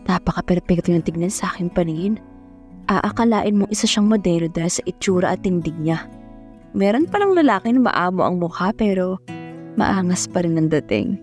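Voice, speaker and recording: A woman's voice: lowish voice, expressive, brisk, darkish tone. A young woman narrating an audio romance serial in the first person, as a dramatic storyteller. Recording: music bed under the voice.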